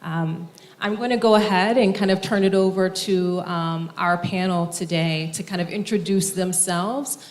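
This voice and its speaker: A young woman moderating a university panel discussion in a formal, measured way, with frequent ums. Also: on the low side, steady tone. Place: university conference hall.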